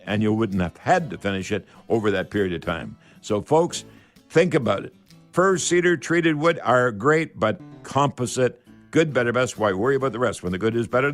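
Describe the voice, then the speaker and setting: A Canadian man hosts a home improvement call-in show on the radio. His voice sits at an average pitch and he speaks in a folksy, emphatic way, often repeating a phrase for weight. Faint music can be heard behind him. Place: radio studio.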